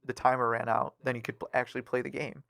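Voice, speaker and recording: A man's voice: medium pitch, level tone, fast talker, vocal fry, a bit bright, no room sound, studio quality. A young adult man chatting casually as co-host of a gaming podcast.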